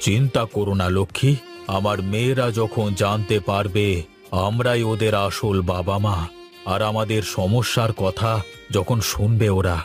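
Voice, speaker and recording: A man's voice: lowish voice, fairly even intonation, normal speed, close to an everyday voice. A man voicing a protective father in a village fairy-tale cartoon, with a cautioning, paternal manner. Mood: reassuring, resolved. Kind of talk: Bengali cartoon voice acting. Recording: music under the voice.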